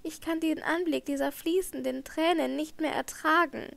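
A frightened young singer pours out her dread in anguished, trembling tones, as a woman reading a storybook voices her. The voice sits a little high and rises higher in her distress. It is plain audiobook reading. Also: steady tone, normal speed, creaky, a bit bright, full character voice.

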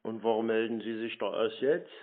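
A man with a deadpan, repetitive manner, chatting as if slow to understand while answering calls. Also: on the low side. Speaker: scam baiter answering calls. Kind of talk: deadpan phone prank chat. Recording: narrow-band phone-like sound.

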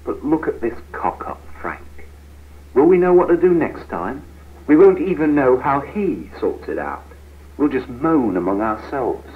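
An actor playing a frustrated, ambitious young British office worker, earnest and argumentative, in a 1970s training film. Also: male voice, mid-range voice, very animated, a little slow. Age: young adult. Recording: tinny, noisy 1970s film soundtrack.

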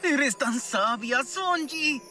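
A man voicing an excitable, sheepish young college student in a webtoon dub. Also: extremely high pitch, extremely slow, soft background music, heavily acted. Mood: eager, sheepish.

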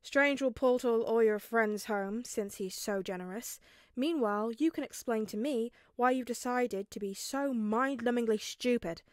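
A woman's voice: fairly high pitch, measured pace, no echo, clean studio sound, lightly acted. A woman voicing an exasperated, sarcastic father who scolds curtly. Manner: curt, scolding. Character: stern, sarcastic, exasperated father, a grown man.

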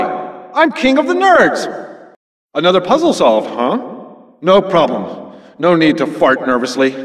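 An actor playing a smug, jealous, nerdy game designer villain in a cartoon video game, boastful, theatrical and petulant. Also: male voice, a little high. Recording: echoey, long reverb.

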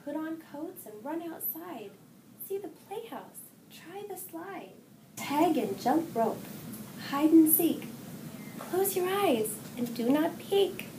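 Slow, echoey woman reading a picture book. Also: sits high, animated. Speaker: children's story reader, hospital executive assistant. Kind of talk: picture book read-aloud for young children. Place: indoor room with wall decorations. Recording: roomy echo, distant mic, clear background noise.

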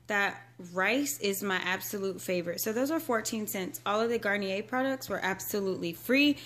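A woman's voice: medium pitch, normal speed, a bit bright. An American woman chatting casually to her viewers as a vlogger, recorded indoors at home on a handheld camera. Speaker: couponing vlogger.

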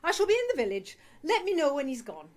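A woman's voice: high voice, sing-song, quick pace. A woman playing a bitter, indignant grieving mother in a radio play, speaking accusingly. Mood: hostile.

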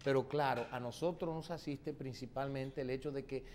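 A middle-aged man as a guest on a TV studio interview, answering in a measured, formal, explanatory way on a lapel mic. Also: mid-range voice, fairly even intonation, a little mellow, slight background noise. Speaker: political guest.

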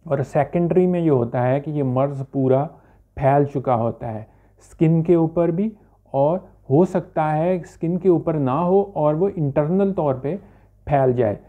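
A man, a homeopathic doctor giving an informal, halting medical explanation to camera with English terms mixed in. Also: fairly high pitch. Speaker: homeopathic doctor presenting a health video. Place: small furnished indoor room. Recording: dull, limited-bandwidth phone-like sound.

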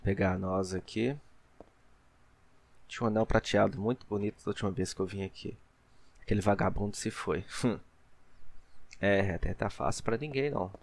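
A man's voice: fairly low pitch, normal speed, gravelly, long pauses. A young man with a Brazilian Portuguese accent, giving casual let's play commentary on a headset mic at home. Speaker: gaming YouTuber.

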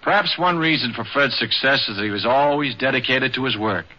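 Male documentary narrator, mid-pitched, scripted fifties radio delivery, muffled vintage recording. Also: brisk. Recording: dull, band-limited 1950s radio recording.

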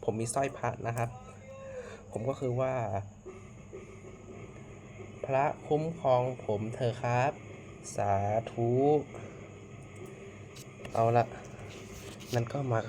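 A man from Thailand hosting a casual horror reaction video, excitable and full of exclamations. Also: medium pitch, drawn-out words, long pauses, noisy background. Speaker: video host.

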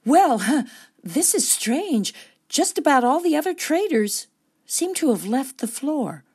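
A woman's voice: high-pitched, big pitch swings, a little slow, a little mellow, heavily acted. A woman playing a perky, unflappable roving reporter in a satirical on-the-scene radio report. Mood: puzzled, concerned. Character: perky, unflappable female radio reporter among talking rhinos.

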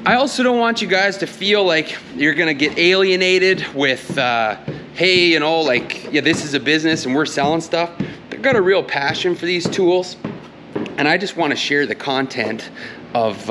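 A man's voice: on the high side, background noise. A man in his thirties from Canada talks casually to a handheld camera in a large indoor workshop, folksy and rambling with many fillers and restarts. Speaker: channel host and tool business owner. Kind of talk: casual vlog talk to camera. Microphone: camera held at arm's length.

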